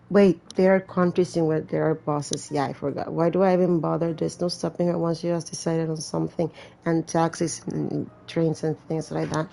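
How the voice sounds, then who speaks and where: A woman's voice: fairly low pitch, vocal fry, dark tone, low-quality recording. A woman hosting a gaming video, reading game text aloud and breaking off for wry, blunt, casual asides. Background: faint game music.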